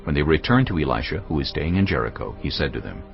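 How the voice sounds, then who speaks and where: A man narrating scripture as a scripted drama, solemn and measured. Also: very deep voice, very animated, gravelly. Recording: dull lo-fi sound, music underneath. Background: faint music.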